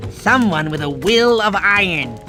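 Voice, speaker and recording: An actor voicing a goofy, doting cartoon uncle sloth who tells a bedtime story to a child in a rambling, folksy, dramatic way. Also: male voice, high voice, expressive, a little slow. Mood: storytelling. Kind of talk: animated storytelling to a young child. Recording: music behind the voice.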